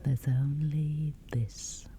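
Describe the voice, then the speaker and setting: A woman guiding a sleep meditation in a breathy, whispery voice, soothing and measured with long pauses. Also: sits low, steady tone, dark tone. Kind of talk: guided sleep meditation, scripted.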